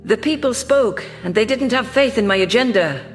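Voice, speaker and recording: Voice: mid-range voice, lively intonation, normal speed, long reverb tail, faint music behind, lightly acted. A narrator voicing a defiant, idealistic female alien ex-governor in an audio story, earnest and impassioned. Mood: gracious, resolute.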